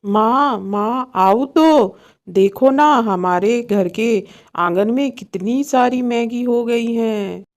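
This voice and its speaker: Woman as an excited high-voiced village child. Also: takes it slowly. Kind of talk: cartoon story acting.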